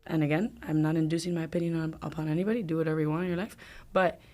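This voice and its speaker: A woman's voice: deep voice, fairly even intonation, rapid-fire, a little mellow. A young woman from Ecuador with a Miami accent, a podcast guest talking candidly and emphatically, slipping in Spanish phrases and casual swearing. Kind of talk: casual conversation.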